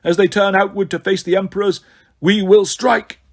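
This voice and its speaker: A man's voice: high-pitched, little pitch movement, moderate pace. A flesh-eating ghoul henchman with a British accent, put on by a male audiobook reader. He is obsequious and apologetic, forever deferring to his master. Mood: measured, obsequious. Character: servile flesh-hungry male ghoul minion. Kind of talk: audiobook character voice.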